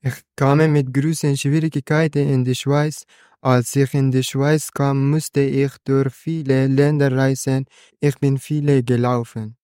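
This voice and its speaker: A man, a student guest speaking in measured, plain short sentences on a school podcast. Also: mid-range voice, flat delivery, warm tone, smooth, no echo, studio quality. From Afghanistan.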